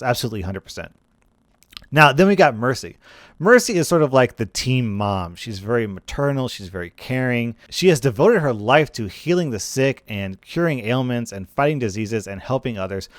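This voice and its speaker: A man's voice: mid-range voice, no room sound, clean studio sound. A man hosting a gaming video with casual, conversational commentary, often repeating phrases for emphasis.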